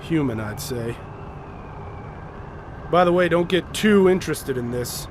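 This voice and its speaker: Commanding expedition leader, rumbling background. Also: male voice, animated, noisy background. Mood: resolute. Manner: deliberate, explanatory, authoritative. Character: commanding, methodical male leader of a polar research expedition. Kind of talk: audio drama acting.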